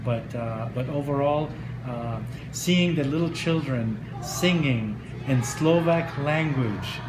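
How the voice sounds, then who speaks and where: A middle-aged man from Lebanon and Egypt, speaking earnestly in a news interview, often pausing on uh. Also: mid-range voice. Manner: earnest, with frequent uh fillers. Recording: echoey room with steady noise.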